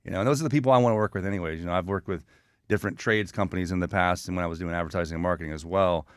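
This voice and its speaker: A man's voice: low voice, rapid-fire, clean tone, no room sound, studio quality. A man talking casually as an interview guest, earnest and full of anecdotes. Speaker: guest, communications consultant.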